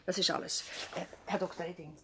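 A woman's voice: on the low side, fairly even intonation, fairly quick, noisy background, natural voice. A woman with a Swiss accent playing an efficient, bustling doctor's assistant in a radio drama, matter-of-fact and urging. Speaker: actor. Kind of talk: naturalistic radio drama acting.

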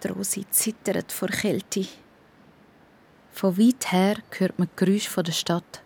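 A woman narrator with a Swiss accent reads a children's audio story. Her voice sits at a middle pitch, and the delivery is that of scripted storytelling. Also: steady tone, unhurried, vocal fry, a little mellow, breathy edge.

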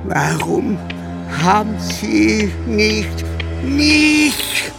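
A woman's voice: mid-range voice, very animated, very slow, vocal fry, exaggerated voice. An actress playing an anguished, reproachful woman in a dramatic radio play. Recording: loud music over the voice.

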